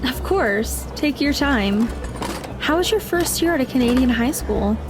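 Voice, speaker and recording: A woman plays an earnest teenage girl in an audio drama. Her voice sits on the high side, and she takes things literally and explains them plainly. Music runs underneath. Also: lively intonation, a little slow. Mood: friendly, questioning.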